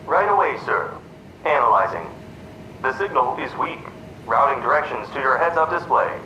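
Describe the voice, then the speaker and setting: An actor voicing a polite male AI butler who speaks crisply and formally. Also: mid-range voice, very animated, moderate pace, pushed and bright, roomy sound, distant mic, highs cut off, a little hiss or hum. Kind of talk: fan audio drama acting.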